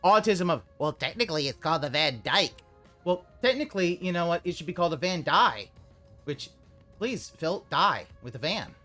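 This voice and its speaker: A streamer talking over a video they are reacting to, their voice sitting at an ordinary pitch. They rant and swear freely and at times burst into loud yelling. Faint music plays under the talk. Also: expressive, normal speed. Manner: ranting, profane, swings into loud yelling. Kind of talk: live reaction commentary.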